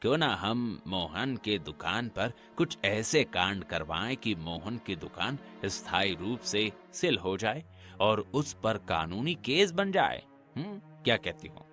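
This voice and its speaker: A man's voice: on the low side, big pitch swings, slow pace, a bit bright, soft background music, exaggerated voice. A man voicing a scheming, boastful village pandit villain in a cartoon story, with theatrical muttering. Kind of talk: Hindi cartoon story acting.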